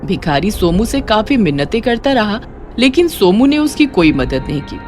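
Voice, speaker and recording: A woman reads a children's moral tale as narrator. Her voice sits at a medium pitch, with faint music behind her. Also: sing-song, normal speed, darkish tone. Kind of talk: scripted children's story narration.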